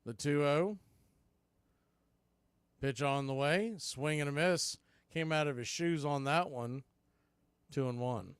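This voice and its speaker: A man's voice: fairly high pitch, takes it slowly, long pauses, clear, resonant tone, no room sound. A man doing live play-by-play baseball commentary as a radio host.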